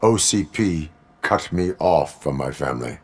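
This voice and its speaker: An actor playing a stoic cyborg police officer in a video game, speaking stiffly and formally in a deadpan, robotic way. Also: male voice, a bass voice, a little slow. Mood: stern, flat. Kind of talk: scripted game dialogue.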